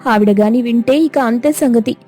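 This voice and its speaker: Lady doing a careful big sister schoolgirl, high mellow voice, pleading. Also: moderate pace, soft background music, natural voice. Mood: pleading, hushed. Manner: hushed, pleading. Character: cautious older schoolgirl, protective big sister. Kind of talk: cartoon voice acting.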